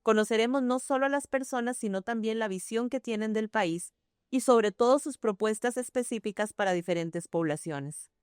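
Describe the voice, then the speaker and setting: A woman hosting a formal broadcast interview, speaking in a measured way. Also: on the high side, a little mellow, clear, resonant tone, no room sound, clean studio sound. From Costa Rica.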